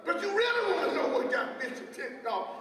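A man's voice: very high voice, sing-song, fairly quick, bright, forceful tone, exaggerated voice. A middle-aged man playing a weary, exasperated bishop in a stage play, emphatic and repeating his phrases like a preacher. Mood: agitated. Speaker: stage actor. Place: indoor stage set in a theater. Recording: echoey theater hall, distant microphone.

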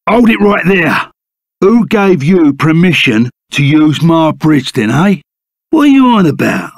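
A burly game bandit with a London Cockney accent. He is brash but good-hearted, speaking in blunt slang at an unhurried pace. The pitch sits a little high and swings widely, and the sound is dry and close. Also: creaky, no echo, full character voice. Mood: menacing, bragging. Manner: blunt, colloquial, bantering. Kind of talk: video game character acting.

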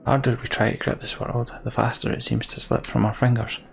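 A man's voice: mid-range voice, level tone, a little fast, creaky, a little hiss or hum, lightly acted. A man voicing a weary, wary caravan leader in a fantasy game, plain-spoken and brooding.

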